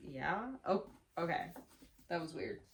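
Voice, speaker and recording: A woman's voice: low voice, animated, unhurried, slight background noise. A young adult woman vlogging in a casual, gushing chat with offbeat dry asides. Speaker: book vlogger. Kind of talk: casual book chat to camera.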